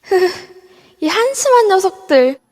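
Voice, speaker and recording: A woman's voice: very high-pitched, very animated, measured pace, darkish tone, heavily acted. A woman voicing a haughty young maiden ghost who rules a haunted hotel, taunting and commanding, in an amateur audio drama. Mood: scornful. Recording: long reverb over a voice app.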